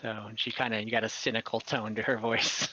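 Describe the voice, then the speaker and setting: Bright-voiced man running a tabletop game. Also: on the low side, little pitch movement. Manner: halting, searching for words. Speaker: game master. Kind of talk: improvised tabletop game narration. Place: online voice call. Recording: dull online voice-call audio.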